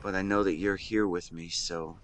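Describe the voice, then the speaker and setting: A man playing a shy young man in love in a romantic audio roleplay, speaking in an earnest, halting, emotional way. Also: deep voice, fairly even intonation, slight background noise, close to an everyday voice. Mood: tender. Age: young adult. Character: shy young man tenderly confessing love to best friend.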